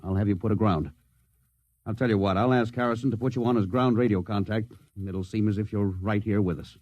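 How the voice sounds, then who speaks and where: An actor playing a stern middle-aged spaceship captain with a soft spot for old crew, clipped and commanding in 1950s radio drama style. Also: male voice, fairly low pitch, steady tone, brisk, dry recording, lo-fi sound, natural voice. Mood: regretful, fond.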